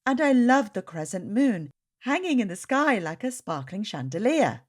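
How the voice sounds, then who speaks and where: A woman reading the words of tender, devout family members in a children's storybook, gently and earnestly. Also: mid-range voice, sing-song, normal speed.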